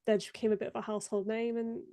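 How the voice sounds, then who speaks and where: A woman's voice: fairly high pitch, flat delivery, racing, warm tone, no room sound, clean studio sound. A young woman, a video editor guesting on a live stream, chatting casually and laughing often while sounding stuffed up from a cold. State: congested, stuffed up.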